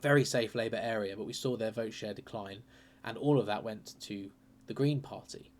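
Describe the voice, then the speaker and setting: A man hosting a political channel, talking casually and hesitantly through by-election numbers, his voice a bit croaky from a cold. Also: lowish voice, normal speed, a little hiss or hum. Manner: chatty, hesitant, lots of ums and uhs. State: croaky with a sore throat and cold. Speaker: political commentary channel host.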